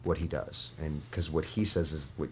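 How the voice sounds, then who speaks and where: A man talking as a guest in a casual studio conversation, earnest and halting, often restarting his sentences. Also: rumbling low, steady tone, a little hiss or hum.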